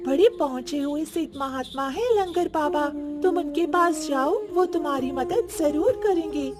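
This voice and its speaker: A woman voicing a helpful, knowing talking bird that gives advice in an animated story. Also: high-pitched, unhurried, over loud music. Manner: urging, advising. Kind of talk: Hindi animated moral story acting.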